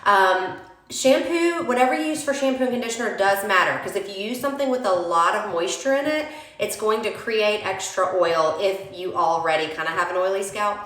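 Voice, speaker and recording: Young gal w a cold, chatty hair tutorial, kinda echoey room. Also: female voice, medium pitch, fairly quick, a bit bright. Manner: chatty, addresses viewers as y'all. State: has a cold, sniffling. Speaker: beauty vlogger. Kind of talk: casual hair-care tutorial. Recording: roomy echoey home recording.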